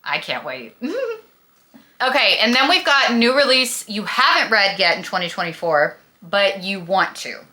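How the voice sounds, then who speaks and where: A young woman book vlogger with a very bright, forward voice and a slight lisp. She talks casually and gushes with dramatic emphasis, drawing out the words she wants to stress. Her pitch sits in an ordinary middle range for a woman. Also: lively intonation, unhurried. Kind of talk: casual, chatty book review to camera.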